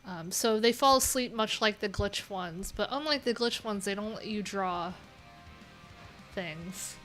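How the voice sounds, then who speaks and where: A woman's voice: medium pitch, measured pace, a bit bright. A young adult woman hosting a coding livestream, talking casually and rambling with lots of fillers, with faint music in the background. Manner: chatty, rambling, many "like"s and "um"s.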